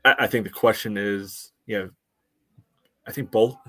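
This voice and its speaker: A man co-hosting a soccer podcast, giving casual analysis in a measured, halting way full of verbal padding. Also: fairly low pitch, fairly even intonation, sharp tone. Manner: hesitant, measured, frequent "you know" hedging.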